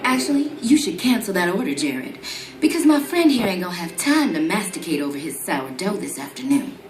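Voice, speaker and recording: A woman's voice: fairly low pitch, sing-song, a little fast. An actress playing a brash, streetwise female assassin in a motion comic, sassy, taunting and commanding. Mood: coolly teasing. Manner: sassy, foul-mouthed, commanding. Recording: roomy sound with music underneath.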